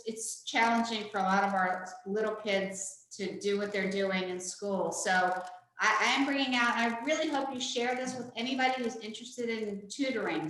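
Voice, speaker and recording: A woman's voice: fairly high pitch, fairly bright tone. A woman hosting an online program for seniors, talking informally and encouragingly over a video call from an echoey room. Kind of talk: casual hosting and announcements.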